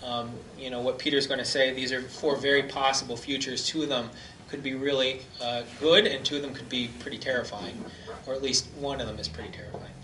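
Bright-voiced man with hesitant fillers. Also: mid-range voice, steady tone, a little fast. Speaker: forum chair introducing the speaker. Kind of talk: informal talk introduction. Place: community hall, indoors. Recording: echoey hall, far from the camera mic, faint noise.

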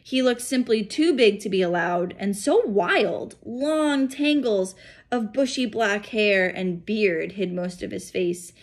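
Expressive woman reading a children's story. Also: mid-range voice, slow pace. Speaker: school principal reading aloud. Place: small home room, indoors. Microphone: phone or tablet at arm's length.

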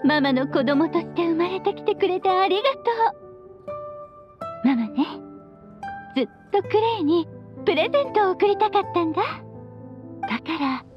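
A woman's voice: very high voice, lively intonation, normal speed, pauses often, dull audio. A woman playing a playful, loving, long-lived witch mother, speaking tenderly to her child like a storyteller in an anime game. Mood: tender, nostalgic. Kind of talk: Japanese anime game voice acting. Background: background music.

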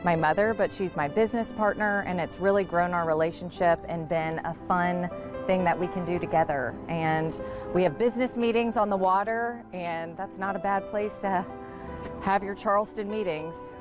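A woman's voice: lowish voice, fairly even intonation, normal speed. A young woman, co-owner of a charter business, talking casually in an outdoor on-camera interview. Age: young adult. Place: outdoors at a marina dock. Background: music.

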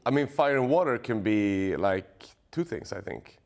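Hesitant man, lowish voice. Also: expressive, unhurried, vocal fry, faint music behind. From Sweden. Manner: hesitant, searching for words. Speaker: choreographer, interview guest. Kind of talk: casual interview answers. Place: indoor room with brick wall.

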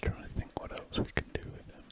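A man with an English accent whispering breathily in an unhurried ASMR ramble, murmuring his thoughts as he draws. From England. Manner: unhurried, murmured thinking aloud. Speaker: ASMR drawing host. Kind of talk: ASMR rambling while drawing. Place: indoors at a lamp-lit desk.

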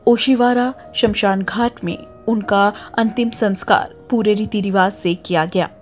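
A woman presenting a scripted news report as a voice-over, with a steady, formal newsreader delivery. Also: fairly high pitch.

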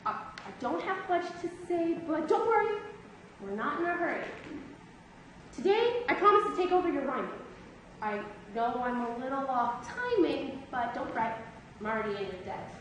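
A woman's voice: high voice, sing-song, normal speed, fairly bright tone. A teenage girl playing an earnest, protective rhyming schoolgirl friend in an amateur stage play. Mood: reassuring. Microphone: distant camera microphone. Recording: echoey theatre stage, far off, noisy.

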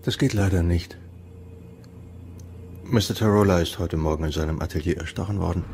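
An actor in a radio play voicing a worried, polite male investigator who presses insistently in a measured way. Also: low-pitched, creaky, a little mellow, slight background noise. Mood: grave. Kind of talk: German radio drama acting.

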